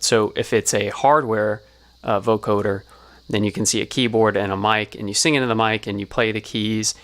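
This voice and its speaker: A man's voice: on the low side, fairly bright tone. A man giving an explanatory tutorial as a sound designer, talking through it step by step.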